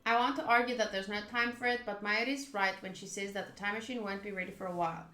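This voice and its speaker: A woman's voice: mid-range voice, fairly even intonation, fast, bright, forceful tone. A woman streaming a game, reading the story aloud and adding casual commentary. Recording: roomy echo, faint game music behind.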